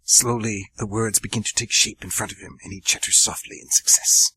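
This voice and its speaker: A man's voice: on the low side, little pitch movement, brisk, pushed and bright, a little hiss or hum, rough recording. A man hosting an amateur radio theatre show, narrating the story earnestly and chatting between segments with casual fillers.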